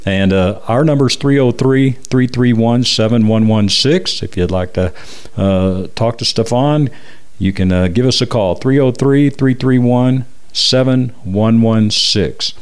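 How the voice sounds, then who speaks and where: A man's voice: mid-range voice, a little mellow, smooth. A man with an American accent hosting a radio show, talking conversationally as he interviews and promotes. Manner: repeats phone numbers slowly and deliberately.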